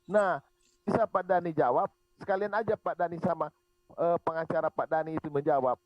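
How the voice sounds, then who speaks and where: A man's voice: fairly high pitch, brisk, dry recording. A man hosting an online religious debate, pressing the others for answers. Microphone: online group call. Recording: muffled lo-fi online call audio.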